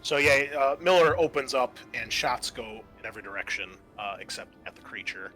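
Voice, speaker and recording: A man's voice: mid-range voice, expressive, a little fast, bright, forceful tone, rough recording. A man acting as game master, explaining rules and narrating a tabletop roleplaying game in a matter-of-fact, conversational way. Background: background music.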